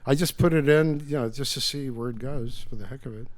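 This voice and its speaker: Older male author, Yiddish native speaker; emphatic, rambling interview guest. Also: mid-range voice. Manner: emphatic, digressive, halting restarts. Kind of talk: casual interview.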